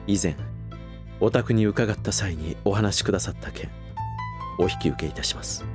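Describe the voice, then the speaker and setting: A man acts out a dutiful male servant with a deep voice. He is composed and grave, speaking with restrained courtesy in voice drama style over clearly audible music. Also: moderate pace, natural voice. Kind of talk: voice drama acting.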